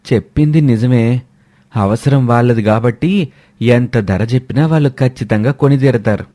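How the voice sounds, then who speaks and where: A mid-pitched village milk seller, acted by a man in a storybook moral tale. He is greedy and defensive, forever complaining. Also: moderate pace, warm tone, close to an everyday voice. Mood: persuaded.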